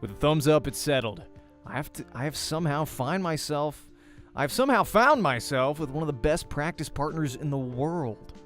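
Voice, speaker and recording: A man reading first-person visual novel narration as a voice actor, informal and quick to comment on his slips. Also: on the high side, fairly bright tone. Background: faint game music.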